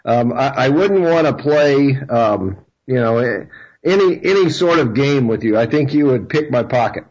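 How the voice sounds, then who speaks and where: A man hosting a radio interview, speaking haltingly with many fillers and repeated words. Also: medium pitch, animated, darkish tone, breathy edge. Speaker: radio host. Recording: dull lo-fi radio sound.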